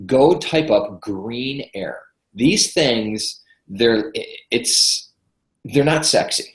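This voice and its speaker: A man's voice: mid-range voice, animated, extremely slow, reverberant, low-quality recording. A congested man from Michigan, a guest health expert chatting enthusiastically in a podcast interview. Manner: chatty, enthusiastic, repeats words when starting sentences.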